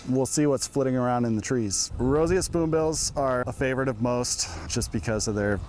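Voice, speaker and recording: A man's voice: medium pitch, moderate pace, a little mellow, a little hiss or hum. A man who directs land for a bird sanctuary, explaining birding in an interview on an outdoor boardwalk. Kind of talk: interview answers.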